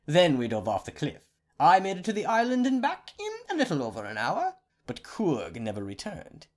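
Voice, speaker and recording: A man's voice: a little high, normal speed, pitched above the performer's own voice. A man voicing a clever young student telling his mother how he escaped. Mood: boastful. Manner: lively, proud storytelling.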